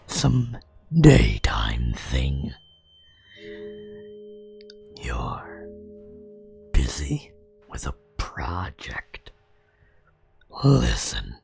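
Casting: male actor for chatty nightmare spirit, casual and gently scolding, hushed ASMR whisper, extremely slow, music underneath. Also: rumbling low, vocal fry, heavily acted. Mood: exasperated. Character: chatty, teasing male nightmare spirit nagging a sleepless worker.

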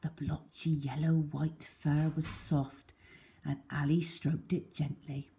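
A woman reading a children's story aloud in a deliberate, dramatic way. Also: low voice, level tone, mellow.

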